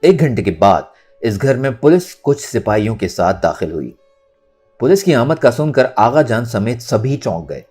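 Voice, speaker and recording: A man narrating a novel in a dramatic, expressive storytelling style. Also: medium pitch, normal speed, slightly rough, faint music behind. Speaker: audiobook narrator.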